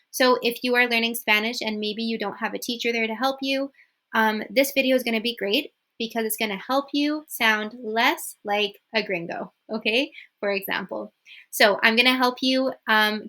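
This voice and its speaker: A young woman, a native English speaker, giving an upbeat casual video lesson, slowly repeating examples and checking in with "okay?". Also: on the high side, pushed and bright. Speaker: Spanish teacher.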